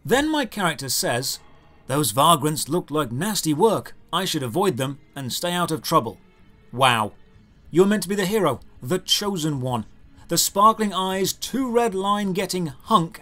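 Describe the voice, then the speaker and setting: A man's voice: a little high, animated, moderate pace, sharp tone. A man narrating a scripted comedic game review with a sarcastic, exasperated manner.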